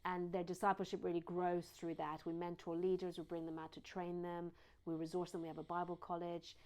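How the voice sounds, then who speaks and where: British-accent lady, fairly low voice, explains her work in tidy lists. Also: completely flat delivery, fairly quick, darkish tone. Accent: British, with a slight American twang. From Iran. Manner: fluent and explanatory, lists things in series. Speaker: charity CEO, guest. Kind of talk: interview answers.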